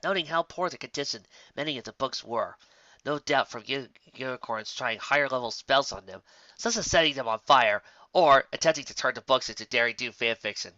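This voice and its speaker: A man's voice: medium pitch, a little fast, sharp tone, no room sound, muffled recording. A man reading a scripted fictional story aloud as narrator. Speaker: story reader.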